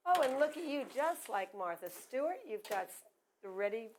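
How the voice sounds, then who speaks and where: A woman's voice: on the high side, big pitch swings, normal speed, a little hiss or hum. A woman hosting a casual cooking show, chatty, asking many questions and telling stories. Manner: chatty, asks many questions, shares anecdotes. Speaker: talk show host.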